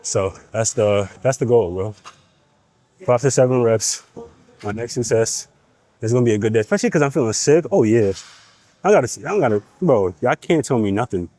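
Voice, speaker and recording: A man's voice: mid-range voice, expressive, moderate pace, mellow. A young man with a cold and a nasal voice, talking bluntly to the camera between sets in a gym. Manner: blunt, profane, pep-talking. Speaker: fitness vlogger. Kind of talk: casual gym vlog talk.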